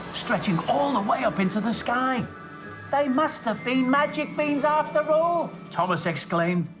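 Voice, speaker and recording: A man narrating a story for children as host, expressive and speaking directly to young listeners. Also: sits high. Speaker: storytelling host of a children's show, station worker persona.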